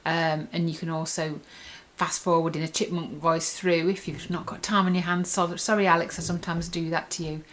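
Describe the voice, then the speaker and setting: A woman's voice: fairly low pitch, level tone, normal speed, few pauses, fairly bright tone, slight background noise. A woman reviewer in a casual, chatty and enthusiastic demonstration, rambling along as she explains. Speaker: app reviewer.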